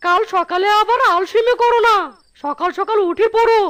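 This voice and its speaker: Casting: woman for cheeky younger fox brother, cartoon, extremely high-pitched, eager and folksy. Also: normal speed, fairly bright tone. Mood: cheerful teasing. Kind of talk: animated children's cartoon dialogue.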